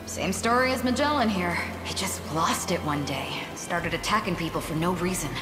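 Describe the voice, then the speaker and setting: An actress playing a tough, distrustful captain of fighters in a video game, blunt and sardonic. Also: female voice, on the low side, moderate pace, lightly acted. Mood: grim. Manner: blunt, sardonic, hard-bitten. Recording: roomy echo, music behind.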